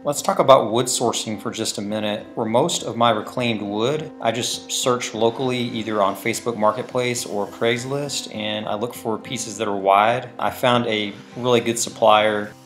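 Relaxed man in a woodshop. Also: fairly low pitch, normal speed, hardly any pauses, soft background music. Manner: relaxed, plain-spoken, many fillers. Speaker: woodworking channel host. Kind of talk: casual how-to narration. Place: woodworking shop indoors. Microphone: lapel mic.

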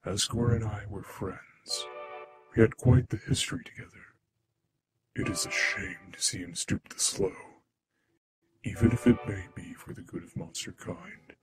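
A man voicing a gentle, sorrowful old monster father in a cartoon, speaking in a formal, solemn, measured way. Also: lowish voice, music in the background, lightly acted, low-quality recording. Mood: melancholy. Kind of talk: animated fan dub acting.

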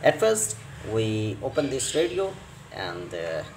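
A man who is not a native English speaker, presenting a hands-on repair tutorial in short, halting phrases with many hesitations. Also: lowish voice, very animated. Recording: roomy echo with clear background noise.

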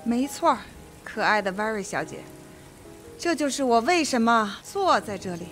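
A man's voice: extremely high pitch, very animated, normal speed, a bit bright, soft background music, heavily acted. An actor playing a stern, formal old male judge in a radio drama. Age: older.

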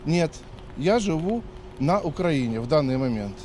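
A man's voice: fairly high pitch, very animated, moderate pace, over a noisy crowd. A man in his fifties answering a reporter on the street, lecturing and argumentative, with frequent hesitations. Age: 50s. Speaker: dictation participant. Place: outdoors on a city street. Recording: faint street noise.

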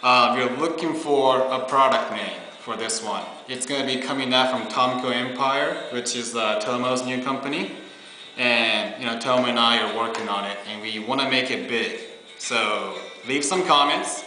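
Bright young man in an echoey room. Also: mid-range voice, normal speed, a little hiss or hum. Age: 20s. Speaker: product presenter. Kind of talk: casual product demo. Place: small bare meeting room indoors. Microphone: camera on table, about arm's length or farther. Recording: echoey room, faint music.

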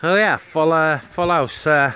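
A man chatting casually over CB radio from a parked car on open moorland, relaxed with lots of fillers. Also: fairly high pitch, unhurried. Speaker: CB radio operator and vlogger. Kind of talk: casual on-air radio chat.

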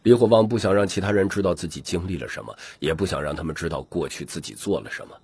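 A man's voice: low-pitched, quick pace, darkish tone, breathy edge, no echo. A man reading dramatic scripted fantasy narration as an audiobook narrator.

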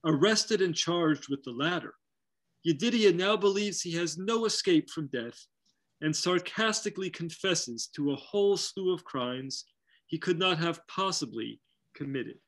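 A man's voice: on the high side, expressive, measured pace, a bit bright. A middle-aged man reading scholarly narration in an erudite, wry way over a video call from a room at home. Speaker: lecturer, program presenter. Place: home study with bookshelves, indoors.

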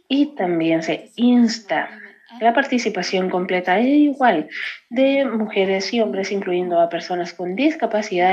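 An older woman delivering a prepared conference keynote in a measured, deliberate, formal way over a video call. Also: medium pitch, a little mellow, highs cut off. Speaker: keynote speaker. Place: indoors, plain wall behind.

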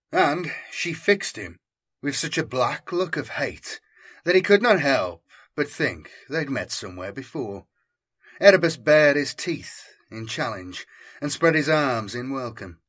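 A man's voice: medium pitch, fairly bright tone. A man reading scripted fiction as an audiobook narrator, with intense, theatrical delivery.

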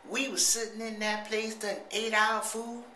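A man's voice: high voice, level tone, measured pace, sharp tone. A middle-aged man putting on a rambling, simple-minded country sibling, drawling and teasing in a comic monologue to camera in a small home bathroom. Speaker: comedy vlogger. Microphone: handheld camera at arm's length. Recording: echoey bathroom, faint hiss.

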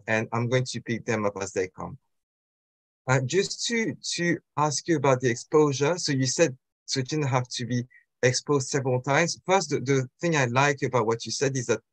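A man's voice: medium pitch, dry recording. A young man hosting an interview over a video call, hesitant with stammering restarts. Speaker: host. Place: home room indoors.

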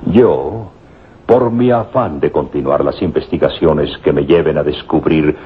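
An actor playing an earnest, fatherly professor with a measured, formal manner in an old radio drama. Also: rumbling low. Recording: dull old radio recording, faint noise.

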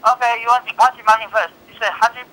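Over a tinny telephone line, a man takes an order. His voice is high and very piercing. He speaks in short, clipped questions, repeating details to confirm. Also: fairly even intonation, fast, sharp tone. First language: Chinese. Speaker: restaurant employee taking orders. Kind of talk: terse transactional phone talk. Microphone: heard over a phone.

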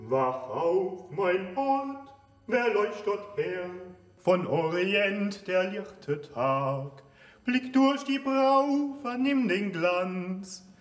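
A man performing a medieval knight and minstrel who slowly recites and sings a dawn song over music. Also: sits high, animated, echoey room, exaggerated voice. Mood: solemn.

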